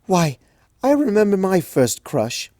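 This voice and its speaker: A woman voicing a gentle, refined unicorn mare who speaks in a posh, soothing way. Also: mid-range voice, sing-song, unhurried, no echo, studio quality, pitched above the performer's own voice. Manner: posh, soothing, calls others darling.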